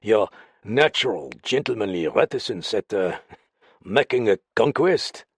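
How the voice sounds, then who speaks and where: A male reader plays a suave storybook detective. The delivery is measured and teasing, with wry pauses, a husky grain and lively swings in pitch. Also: dry recording, exaggerated voice. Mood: soothing, teasing. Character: suave male detective, soothing, slyly amused.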